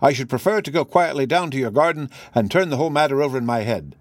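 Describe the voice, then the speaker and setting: A shrewd gentleman detective played by a male audiobook reader speaks with brisk assurance and courtesy. His voice sits at a medium pitch, recorded dry and close. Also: no echo, lightly acted. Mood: polite, measured. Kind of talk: audiobook character voice.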